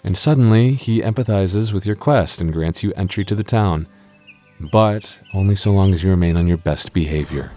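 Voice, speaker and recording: A man's voice: sits low, moderate pace, dark tone, faint music behind, lightly acted. A man acting an exasperated young male game master and childhood friend in an audio roleplay, with a hesitant manner. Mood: grudging, exasperated.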